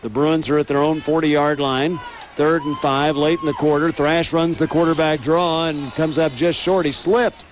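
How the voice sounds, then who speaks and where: A man's voice: a little high, normal speed. An American man calling live football play-by-play as a radio announcer, over outdoor noise. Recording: noisy outdoor stadium radio broadcast. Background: steady outdoor ambient noise.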